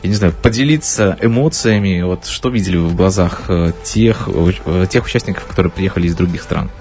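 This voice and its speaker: A man from Belarus hosting a studio radio interview, pausing often with filler sounds. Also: deep voice, a little mellow. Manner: hesitant, frequent filler sounds. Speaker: radio host.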